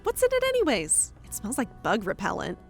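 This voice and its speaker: A woman's voice: mid-range voice, huge pitch swings, brisk, gravelly, soft background music, full character voice. A woman voicing a cheerful, innocent and curious young woman in a fantasy audio story.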